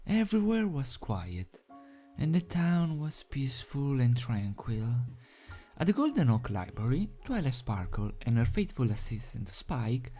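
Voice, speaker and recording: Casting: unhurried amateur host-reader, hesitant and self-effacing, medium pitch, music underneath. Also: big pitch swings, mellow. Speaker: video host and fan fiction reader. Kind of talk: casual rambling chat, then storybook narration. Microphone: desk microphone without pop filter.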